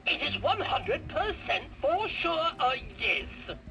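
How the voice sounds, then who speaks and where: A cheery ghost butler toy telling fortunes, high and wildly swooping. The delivery is slow and theatrical, coming from a toy speaker with music behind it. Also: sing-song, sharp tone, exaggerated voice. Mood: showy, cheerful. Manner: showy, theatrical. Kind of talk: scripted talking-toy lines.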